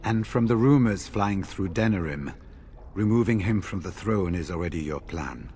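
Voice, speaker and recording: Deep-voiced, formal veteran warrior. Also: male voice, animated, a little mellow, slight background noise. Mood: grave, measured. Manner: formal, measured. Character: seasoned, courteous senior warrior of a secret order. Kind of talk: fantasy video game voice acting.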